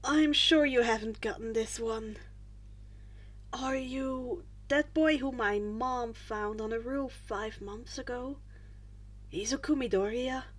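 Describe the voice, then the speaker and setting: A woman voicing an eager, earnest, excitable teenage boy who prizes manliness, in a story reading. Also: on the high side, slow pace. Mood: curious. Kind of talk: podfic character voice.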